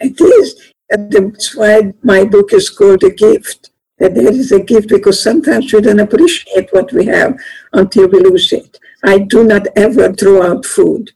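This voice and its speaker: A woman's voice: mid-range voice, expressive, a little fast, creaky, warm tone, breathy edge, low-quality recording. An older woman from Hungary, a guest author speaking in an earnest, plainspoken way with slightly phrasing. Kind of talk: conversational interview.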